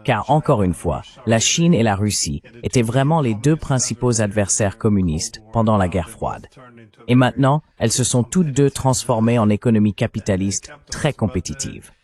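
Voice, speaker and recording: Low-voiced man, formal interviewer voice-over. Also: expressive, moderate pace, darkish tone. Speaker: translated voice-over of the interview host. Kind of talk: translated voice-over of interview questions.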